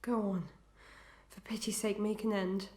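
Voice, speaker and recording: A woman's voice: medium pitch, little pitch movement, normal speed, dark tone, close to an everyday voice. A woman playing a sorrowful, dignified wife torn between love and duty in a Victorian melodrama, declaiming formally with pathos. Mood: pained protest. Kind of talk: Victorian melodrama audio acting. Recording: echoey, reverberant room.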